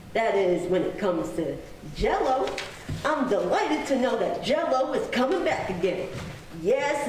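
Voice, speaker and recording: A woman's voice: a little high, a little mellow. A woman announcer reading a scripted advertisement and narration in the style of old-time radio. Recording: very echoey room, distant mic, noisy.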